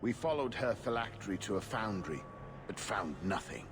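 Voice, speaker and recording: An actor playing an aging, earnest knight investigator, formal and measured, in a dramatic video game. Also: male voice, lowish voice, lively intonation, background noise. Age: older. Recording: long hall reverb with music behind.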